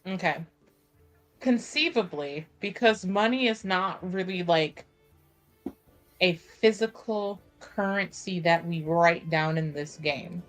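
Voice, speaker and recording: A woman talks through her character's choices in a roleplaying game. Her voice is on the low side and she takes her time, informal and loose with swearing. Faint music sits behind her. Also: slow pace, rough recording. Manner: informal, sweary, thinking aloud. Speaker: tabletop roleplaying player. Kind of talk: casual game chat with in-character narration.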